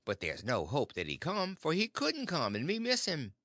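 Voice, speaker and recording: A man's voice: fairly high pitch, wildly swooping pitch, normal speed, gravelly, pushed and bright, no room sound, studio quality, voice pushed up, heavily acted. A man voicing a worried, mild-mannered old farmer in an audiobook, speaking earnestly and anxiously. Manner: earnest, anxious, plain-spoken.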